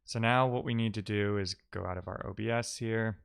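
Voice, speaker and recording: A man's voice: fairly low pitch, fairly even intonation, fairly quick, gravelly, clean tone. A man giving casual, think-aloud commentary as a live-coding streamer, often muttering to himself and trailing off.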